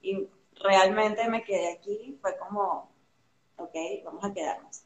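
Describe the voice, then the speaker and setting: A young woman with a Venezuelan accent talks freely and animatedly, stringing thoughts together with lots of fillers. Her voice sits at an ordinary middle pitch. The sound carries the echo of a live room. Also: a little slow, a little hiss or hum. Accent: Venezuelan Spanish. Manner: chatty and animated, many fillers, laughs easily. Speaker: guest artist. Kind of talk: casual interview chat. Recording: roomy echo.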